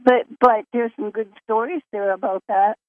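A woman's voice: on the high side, fairly even intonation, moderate pace, no echo. A woman chatting on a conference call, hesitant and repeating her words. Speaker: caller. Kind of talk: casual phone chat. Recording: dry tinny phone line.

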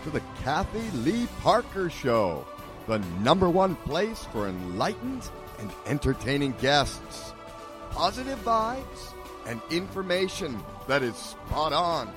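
Male radio announcer, animated, slow showy read, music playing behind. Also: a little high. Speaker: show announcer. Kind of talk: scripted radio intro.

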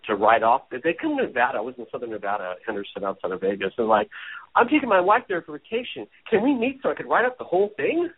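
A man's voice: medium pitch, slightly rough. A man in his forties from Hawaii, a business strategist speaking as a guest in a casual interview from home, chatty and self-deprecating. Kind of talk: casual talk show interview. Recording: phone-like audio.

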